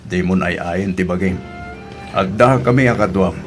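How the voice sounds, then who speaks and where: A man's voice: deep voice, unhurried, music in the background. A man acting a friendly, supportive cousin and neighbour in an Ilocano audio drama, hearty and encouraging.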